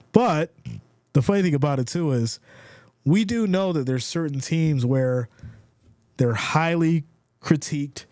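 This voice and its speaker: A congested man calls football plays on a live stream, switching between play-by-play and easy chat with his viewers. His voice is warm and mellow, slightly stuffed up. The sound is dry and close, with no room around it. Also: medium pitch, no echo. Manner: casual, reads viewer comments aloud; builds excitement calling plays. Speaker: live stream sports commentator.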